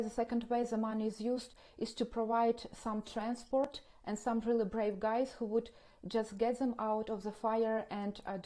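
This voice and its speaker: High, mellow Ukrainian-accented woman. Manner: earnest, explanatory. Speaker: ministry coordinator giving a report. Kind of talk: interview, first-hand account.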